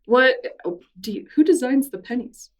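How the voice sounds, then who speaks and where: A woman's voice: high-pitched, expressive, normal speed, recorded from a distance. A woman hosting an interview in a library room, asking curious, friendly questions. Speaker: podcast host, interviewer. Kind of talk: casual interview.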